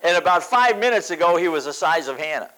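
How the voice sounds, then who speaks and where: Animated older male preacher. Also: a little high, quick pace, low-quality recording. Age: 70s. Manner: emphatic, repeats phrases for stress. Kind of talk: sermon.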